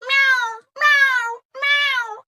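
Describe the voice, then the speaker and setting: Storybook greedy fat cat: very high, bright, insistent meowing. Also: female voice, fairly even intonation, no echo, clean studio sound, exaggerated voice. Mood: insistent, pleading. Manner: drawn-out insistent meows. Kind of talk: picture-book read-aloud.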